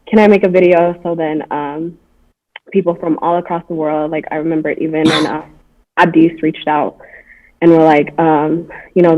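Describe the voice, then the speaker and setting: A woman guest on a livestream, telling a personal story softly, with frequent pauses and fillers. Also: deep voice. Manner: soft-spoken, halting, many fillers. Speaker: guest, campaign founder. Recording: tinny phone-line audio.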